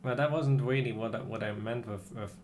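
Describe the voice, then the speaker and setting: A man livestreaming a game, chatting casually about strategy in a hesitant, halting way as he thinks aloud. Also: medium pitch, darkish tone, a little hiss or hum.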